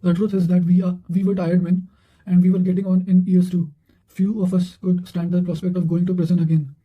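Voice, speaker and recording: Male reader for revision notes: flat intonation, high register, occasional self-corrections. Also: a little fast, lo-fi sound. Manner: reads with stumbles and self-corrections. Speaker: narrator reading quotations for exam revision. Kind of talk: read-aloud quotations, study revision.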